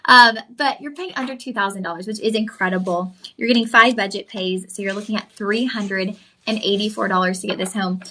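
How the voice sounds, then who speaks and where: A woman's voice: mid-range voice, a little fast, sharp tone. A young woman, hosting a live shopping stream, effusive and chatty, calling viewers y'all. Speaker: shopping channel host. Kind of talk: live-stream sales pitch.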